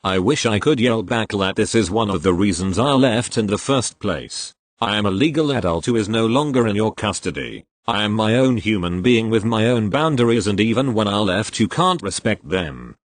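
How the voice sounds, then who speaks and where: A man reading online posts aloud as the regular reader of the channel, in an expressive storytelling way. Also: fairly low pitch, moderate pace, hardly any pauses, dry recording.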